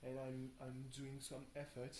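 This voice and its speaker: A tired young man rambles casually through a video diary, halting and thinking aloud, often questioning himself. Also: mid-range voice, monotone, a little mellow. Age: young adult. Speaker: video blogger. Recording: roomy echo, faint background noise.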